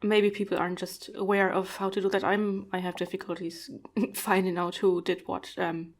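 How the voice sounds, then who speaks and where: A woman's voice: mid-range voice, level tone, moderate pace. A woman, not a native speaker of English, chatting on a video call in a thoughtful, hesitant way with many fillers. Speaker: podcast host. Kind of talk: casual conversation.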